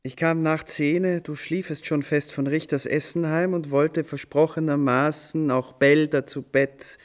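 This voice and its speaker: An actor playing a playful, impulsive composer who tells stories in an animated, ornate, old-fashioned way for a German radio play. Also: male voice, on the high side, a little slow, close to an everyday voice. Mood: lively, wry. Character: playful, impulsive male composer recounting his nighttime inspiration.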